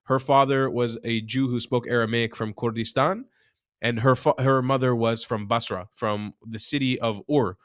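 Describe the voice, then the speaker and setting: A man from Israel speaks as a journalist guest over a video call. He argues passionately and leans on rhetorical questions. His voice sits at a middle pitch with a dry, close sound. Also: steady tone, moderate pace, a bit bright, dry recording. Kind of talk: casual interview chat.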